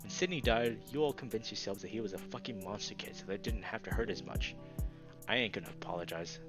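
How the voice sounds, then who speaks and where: A man plays a young male reptile from a visual novel with a grudge and a short temper. His voice is fairly low, blunt and defensive, over clearly audible music. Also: fast talker, natural voice. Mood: defiant. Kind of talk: visual novel character read-aloud.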